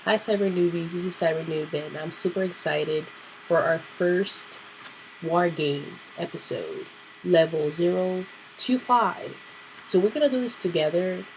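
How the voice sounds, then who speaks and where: A woman hosting a casual computer tutorial, nasal and clearing her throat because she is sick, thinking aloud with fillers. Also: lowish voice, unhurried, a little mellow, slight background noise, rough recording. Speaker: tutorial host. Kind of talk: casual screen-recorded tutorial.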